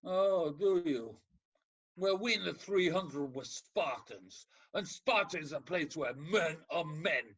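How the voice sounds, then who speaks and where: A man playing a gruff, macho Spartan ex-soldier turned counsellor in a radio play, husky, blunt and sardonic but secretly soft. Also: on the high side, lively intonation, slow, lo-fi sound, full character voice. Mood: scornful.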